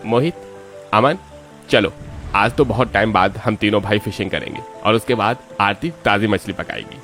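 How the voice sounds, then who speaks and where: Middle-aged family patriarch for cartoon drama, hearty, low-pitched, acted by a man. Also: normal speed, fairly bright tone, faint music behind, natural voice. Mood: eager. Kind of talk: Hindi cartoon story dialogue.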